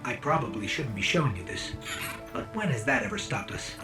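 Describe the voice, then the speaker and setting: A man's voice: mid-range voice, very animated, brisk, close to an everyday voice. Earnest, wry robot companion spirit voiced by a man. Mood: gentle, encouraging. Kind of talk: cinematic video game voice acting. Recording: music behind the voice.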